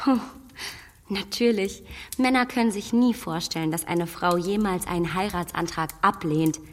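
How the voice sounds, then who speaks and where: A woman's voice: on the low side, normal speed, lightly acted. A clever, self-assured young woman, played by an actress in a radio drama. She talks sharply and defiantly, and a long reverb follows her voice. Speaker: Emma. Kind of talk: radio play acting.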